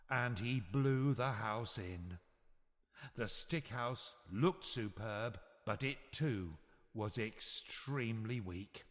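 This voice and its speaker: A man narrating a scripted bedtime story for children in an expressive, measured storytelling manner. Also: medium pitch, long reverb tail.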